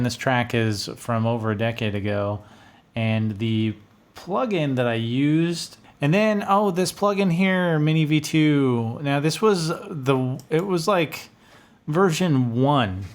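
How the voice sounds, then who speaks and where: Casual man thinking aloud, slightly low-pitched. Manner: informal, rambling, thinking aloud. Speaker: music producer and video host. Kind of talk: casual screen-share commentary. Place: small home studio room, indoors. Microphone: studio condenser on boom arm, close.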